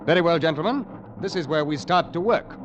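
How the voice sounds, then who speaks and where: Man acting as police inspector, calm, deliberate, logical, vintage radio drama, music behind. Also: a little high, lo-fi sound, natural voice. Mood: resolute. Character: methodical, calm male police inspector narrating his case.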